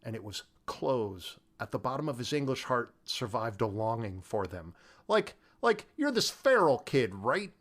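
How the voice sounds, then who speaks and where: A man's voice: mid-range voice, big pitch swings, measured pace, fairly bright tone. A man co-hosting a casual film discussion, talking colloquially with emphatic, incredulous delivery. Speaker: podcast co-host discussing a film. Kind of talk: casual chat.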